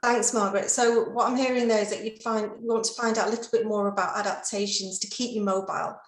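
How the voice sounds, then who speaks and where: A woman moderating a patient panel over a video call, speaking in a polite, measured, orderly way. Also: medium pitch, fairly even intonation, reverberant, poor audio. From England.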